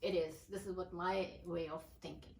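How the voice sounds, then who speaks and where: A woman's voice: on the low side, fairly even intonation, moderate pace, creaky, a little hiss or hum. Woman, distant and echoey. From Pakistan. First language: Urdu. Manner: hesitant, many fillers and restarts. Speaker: poet, interview guest. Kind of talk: casual interview.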